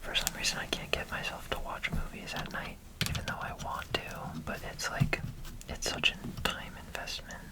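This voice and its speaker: A man whispering casual, rambling ASMR chatter with many fillers while building bricks, his voice slightly nasal. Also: moderate pace. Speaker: ASMR creator.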